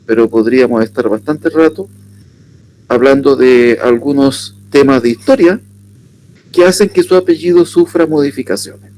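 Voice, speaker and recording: A man from southern Chile with a Chilean accent, giving an unhurried workshop lecture and asking his audience questions. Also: medium pitch, darkish tone, a little hiss or hum, rough recording. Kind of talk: lecture on surnames.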